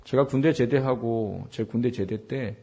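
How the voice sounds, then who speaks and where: A man in his forties from South Korea preaching a sermon as a pastor, with a husky, worn voice, putting questions to his listeners and repeating words for emphasis. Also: medium pitch, measured pace, warm tone. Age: 40s. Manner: rhetorical questions to the congregation, emphatic repetition. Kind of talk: Sunday sermon with personal anecdotes.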